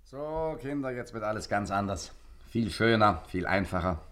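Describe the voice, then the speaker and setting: An actor playing a cheerful, self-confident gentleman gangster in a 1950s radio play, breezy and reassuring. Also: on the low side, animated, normal speed.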